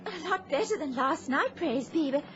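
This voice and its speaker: Spirited well-bred daughter, high and lively, vintage radio drama, muffled. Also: female voice, normal speed, a little hiss or hum. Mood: indignant. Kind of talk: old-time radio drama acting.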